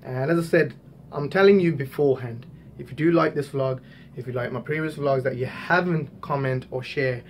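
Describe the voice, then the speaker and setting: A man's voice: mid-range voice, moderate pace, slight background noise. A young man in his twenties from Hong Kong, talking casually to a handheld camera at arm's length and repeating words as he greets his viewers. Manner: chatty, repeats words, addresses viewers as guys. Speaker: vlogger. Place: small room indoors, then outdoor walkway.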